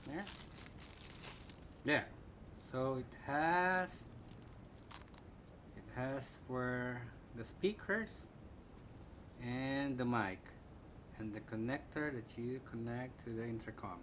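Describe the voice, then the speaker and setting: A man with a Filipino accent giving a casual, halting unboxing commentary, thinking aloud as he goes. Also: medium pitch. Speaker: product reviewer. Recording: clear background room noise.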